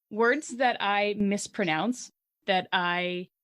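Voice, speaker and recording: A woman's voice: mid-range voice, extremely slow, fairly bright tone. A woman with a Canadian accent co-hosting a podcast in casual chat, giggly and self-deprecating. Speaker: podcast co-host.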